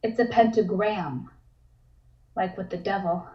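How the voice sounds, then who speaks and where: A woman's voice: medium pitch, unhurried, roomy sound, lo-fi sound, close to an everyday voice. A woman reading the part of a curious, forthright young painter in a comic script table read.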